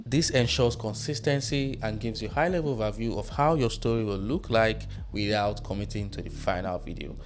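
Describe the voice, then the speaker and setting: Low-voiced man hosting a casual tutorial. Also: normal speed, few pauses. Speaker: tutorial host. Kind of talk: casual screen tutorial narration. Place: small room at home. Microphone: close studio microphone on boom arm. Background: music.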